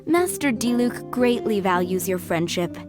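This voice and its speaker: A woman playing a courteous head maid in a video game, speaking in a formal, polite and measured way. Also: medium pitch, animated, music in the background, close to an everyday voice. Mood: gracious. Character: poised, courteous female head maid of a wealthy household. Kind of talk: video game character dialogue.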